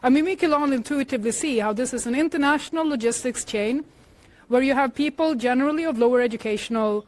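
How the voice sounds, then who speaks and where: A woman from Sweden giving a conference talk into a handheld microphone, explaining in a measured way with frequent filler sounds. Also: high-pitched, darkish tone. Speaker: conference speaker. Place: conference room. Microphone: handheld microphone shared with co-speaker.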